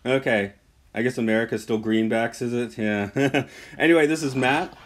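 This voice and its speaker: A man's voice: medium pitch, normal speed. A man co-hosting a casual podcast chat, easygoing and laughing often. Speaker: podcast co-host.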